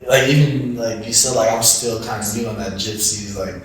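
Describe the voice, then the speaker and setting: Laid-back young man, low voice, roomy. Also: normal speed, a little hiss or hum. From Hawaii, born in Arizona. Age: young adult. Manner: relaxed, rambling, heavy use of "like". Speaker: guest, student athlete. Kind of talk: casual interview chat. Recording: roomy, mic far off.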